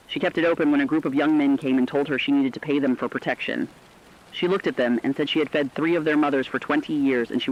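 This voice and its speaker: A man narrating scripted human-interest stories for a radio drama in a sober, deliberate way. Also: medium pitch. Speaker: radio storyteller. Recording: dull lo-fi radio sound.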